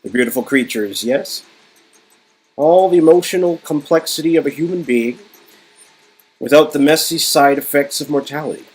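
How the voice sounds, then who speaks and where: A man's voice: on the high side, normal speed, long pauses, darkish tone, husky, rough recording. A man playing a smug, grandiose villain with a pompous, theatrical delivery in a story-game reading. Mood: grandiose, rapt. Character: smug, grandiose male villain boasting of his creation. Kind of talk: amateur dialogue reading of an interactive story game. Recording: music under the voice.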